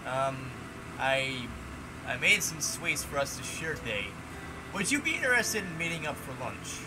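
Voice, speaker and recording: A man's voice: a little high, sing-song, measured pace, background noise, pitched below the performer's own voice. A man reading the lines of a shy, nervous schoolgirl in a dating game, hesitant and stammering.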